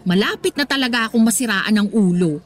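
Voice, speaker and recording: Exasperated young Filipina breadwinner, highish voice, Filipino accent, dramatic slangy venting, radio drama, faint music. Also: female voice, expressive, fairly quick. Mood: bitter. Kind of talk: Tagalog radio drama acting.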